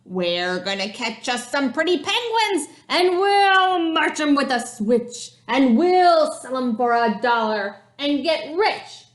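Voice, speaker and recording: A woman puts on rough storybook penguin hunters, growling and chanting with boastful menace. The delivery is slow and swinging in pitch, in an echoey room. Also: very animated, heavily acted. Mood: boastful, menacing.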